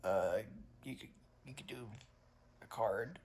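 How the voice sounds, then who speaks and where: Slow, gravelly-voiced man vlogging. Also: medium pitch, a little hiss or hum. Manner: halting, many fillers and restarts. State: congested. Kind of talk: casual vlog chat to viewers.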